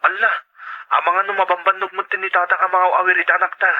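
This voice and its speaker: An actor playing a hardworking, anxious and affectionate young husband in an audio drama, speaking earnestly. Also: male voice, high-pitched, monotone, fast, narrow, tinny audio, lightly acted. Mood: affectionate, concerned. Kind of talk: Ilocano audio drama dialogue.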